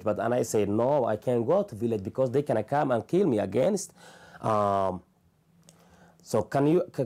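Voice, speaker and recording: A man in his thirties with a Somali accent, telling his own story haltingly and earnestly in an interview indoors on a lapel mic. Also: mid-range voice, mellow. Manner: halting, plain and earnest, with many restarts. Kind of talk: personal testimony. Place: small living room, indoors.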